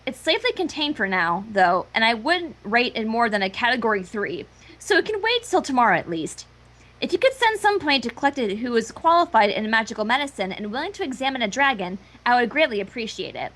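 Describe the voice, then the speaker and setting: A woman plays a studious young female cartoon pony in a fan story. She reads her letter in a high voice, earnest and polite, with formal phrasing. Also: sing-song, fairly quick, a bit bright.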